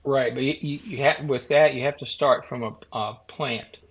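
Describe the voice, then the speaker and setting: A man's voice: medium pitch, moderate pace, a bit bright, breathy edge, dull audio. A man who is a podcast guest, talking casually and folksily, with many restarts as he explains. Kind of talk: casual chat.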